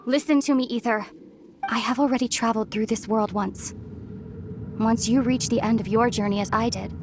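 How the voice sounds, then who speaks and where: A woman's voice: a little high, fairly even intonation, normal speed, darkish tone, a little hiss or hum, natural voice. A woman playing a solemn, resolute young princess and long-lost sister, speaking earnestly and with measure in a dramatic video game. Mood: wistful, resolute. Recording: clear game music behind the voice.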